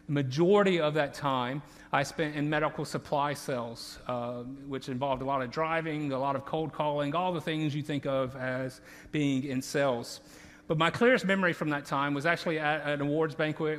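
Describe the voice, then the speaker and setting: A man's voice: fairly high pitch, fairly bright tone. A man in his thirties with a Southern accent from Louisiana, preaching a sermon as campus pastor in an earnest, conversational way with many restarts. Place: large church auditorium. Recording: long reverb of a large church hall.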